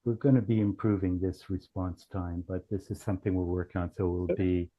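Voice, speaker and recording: A man giving a casual software demonstration in a halting way, thinking aloud as he goes. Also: low-pitched, fairly even intonation, dull audio. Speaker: company founder demonstrating software. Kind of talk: casual product demo.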